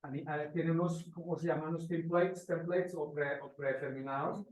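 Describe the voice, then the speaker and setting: A man teaching informally to a class, pausing to search for phrases and checking in with short questions, recorded at a distance in an echoey room. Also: fairly high pitch, fairly even intonation, a little mellow. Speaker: motion graphics teacher. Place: indoor classroom.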